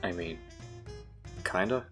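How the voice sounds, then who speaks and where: A man playing a deadpan, sarcastic teenager with a laconic, dryly teasing delivery in an audio drama. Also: deep voice, takes it slowly, soft background music. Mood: dry, sardonic.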